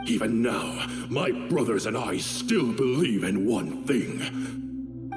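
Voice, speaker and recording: Grandiose, echoey fanatical desert commander. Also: male voice, medium pitch. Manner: grandiose, declamatory. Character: proud, fanatical fallen desert mercenary commander, defiant to the end. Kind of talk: scripted video game acting. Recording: echoey hall reverb, game music behind.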